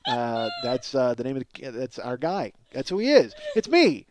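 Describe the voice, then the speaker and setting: A man's voice: mid-range voice, very animated, normal speed, no echo. Rambling, profane man hosting a comedy podcast. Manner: rambling, self-interrupting, profane; self-mocking. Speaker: podcast host. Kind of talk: casual comedic monologue.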